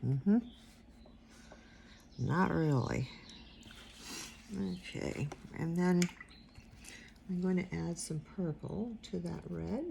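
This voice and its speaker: Quiet, gravelly older woman. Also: fairly low pitch, very slow, pauses often, slight background noise. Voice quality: quiet soft-spoken voice. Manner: rambling, trails off and mumbles while focusing. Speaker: watercolor instructor. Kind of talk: casual painting tutorial.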